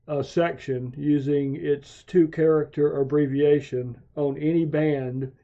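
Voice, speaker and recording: A man narrating a software tutorial as a hobbyist, explaining things in a methodical, measured way. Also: a little high. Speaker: amateur radio hobbyist hosting video tutorials. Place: home radio shack. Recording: dull, muffled lo-fi voice track.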